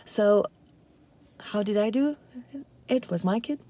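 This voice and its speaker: Mellow-voiced woman. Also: mid-range voice, slow pace. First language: Russian and Ukrainian. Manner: chatty, unscripted, lots of fillers. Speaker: parenting channel host. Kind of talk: casual talk to camera.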